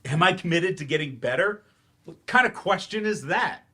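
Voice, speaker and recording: A man's voice: high voice, expressive, normal speed, pushed and bright, full character voice. An actor in a staged play reading, playing a bitter, grieving, sarcastic man who teaches remedial English and speaks defensively and emphatically.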